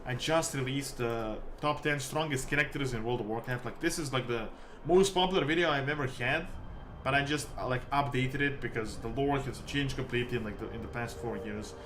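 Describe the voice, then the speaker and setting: A man from Serbia, chatting casually to viewers as a game streamer in a halting, rambling way peppered with "like". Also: medium pitch, noisy background. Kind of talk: casual livestream chat with viewers. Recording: music behind the voice. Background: music.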